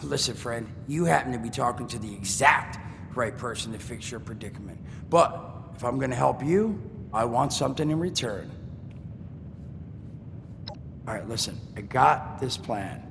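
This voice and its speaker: An actor playing a wisecracking male ghoul trader in a video game, talking in a joking, streetwise drawl with a gravelly voice. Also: mid-range voice, lively intonation, normal speed. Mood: scheming. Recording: game audio with long reverb and noise.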